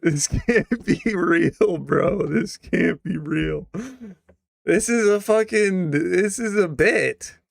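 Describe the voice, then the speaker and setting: A man's voice: high-pitched, takes it slowly, vocal fry, no echo, studio quality. A man streaming his reactions to a video in blunt, incredulous casual talk, swearing freely.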